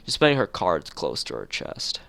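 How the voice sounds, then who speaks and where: A man's voice: low-pitched, wildly swooping pitch, unhurried, gravelly, fairly bright tone, no room sound. A man streaming a visual novel, reading the text aloud and making casual asides.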